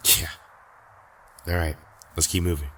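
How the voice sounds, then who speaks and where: An actor playing a cool, wry young superhero in an audio drama, talking in a laconic, casual way. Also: male voice, low voice, level tone, a little slow. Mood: sober. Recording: faint background noise.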